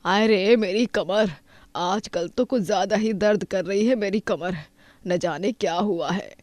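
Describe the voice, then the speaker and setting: A woman's voice: medium pitch, a little slow, darkish tone, no room sound, close to an everyday voice. A woman playing a complaining, scheming middle-aged aunty in a cartoon moral story. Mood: whining, feigning pain.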